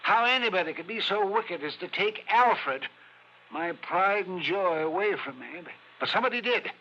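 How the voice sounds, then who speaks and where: A man playing a fussy, distraught, eccentric old gentleman in a vintage radio play, flustered and florid. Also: sits high, expressive, moderate pace. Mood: mournful, melodramatic. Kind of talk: 1950s-60s radio comedy-drama acting. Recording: dull, noisy old radio recording.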